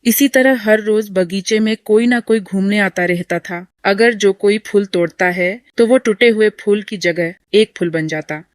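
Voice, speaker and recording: A woman's voice: mid-range voice, unhurried, hardly any pauses, clean tone, dry recording, clean studio sound. A woman reading scripted narration for a horror cartoon story. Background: music.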